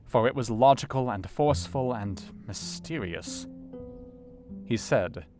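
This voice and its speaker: A man's voice: medium pitch, animated, a little slow, music in the background, natural voice. An actor playing a sober, compassionate Victorian doctor, narrating his diary reflectively in an audio drama. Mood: perplexed, intense.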